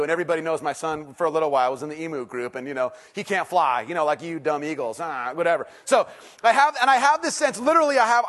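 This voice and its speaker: A middle-aged male pastor preaching to his congregation in a slightly high voice. He is casual and self-mocking one moment, then intense and almost hushed the next, building to loud bursts. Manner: animated, swings from loud excitement to quiet intensity; self-deprecating, conversational. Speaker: teaching pastor. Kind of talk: sermon. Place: church service before a congregation.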